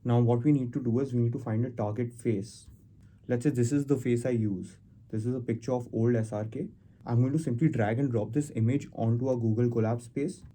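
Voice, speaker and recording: Man with a very dark, muted voice. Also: medium pitch, steady tone, fairly quick. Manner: step-by-step explaining. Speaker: tutorial host. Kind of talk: casual screen-recorded software walkthrough.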